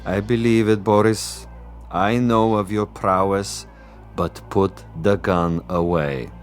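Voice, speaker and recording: An actor playing a suave, cruel Soviet commissar in a radio drama, theatrical and deliberate, with silky irony. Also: male voice, fairly low pitch, steady tone, soft background music. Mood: patient, pompous. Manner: theatrical, deliberate, silkily ironic.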